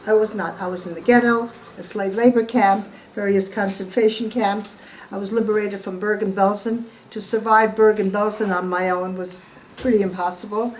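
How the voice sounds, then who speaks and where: A woman's voice: mid-range voice, fairly even intonation, moderate pace. Elderly American woman, noisy live recording. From born in Poland, raised in the United States. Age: 80s. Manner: emphatic storyteller, asks listeners questions. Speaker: Holocaust survivor giving testimony. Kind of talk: informal spoken testimony to guests at home. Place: at home, room with gathered guests.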